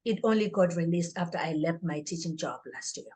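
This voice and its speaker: A woman's voice: lowish voice, brisk, warm tone, husky. A woman speaking as an interview guest, a life coach and author, in an earnest, motivational way. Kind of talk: interview answer.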